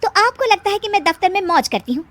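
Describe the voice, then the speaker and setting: A woman's voice: very high-pitched, animated, quick pace, fairly bright tone, no room sound, voice pushed up. A woman voicing an overworked, touchy young working mother and daughter-in-law in a moral story. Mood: complaining. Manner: complaining, defensive.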